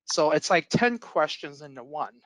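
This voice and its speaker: A man who is an IT instructor, giving a casual webinar presentation, with a voice worn slightly hoarse from illness and many fillers and restarts, on a computer mic. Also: on the high side, animated, normal speed, a bit bright, no room sound, low-quality recording. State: losing his voice from illness.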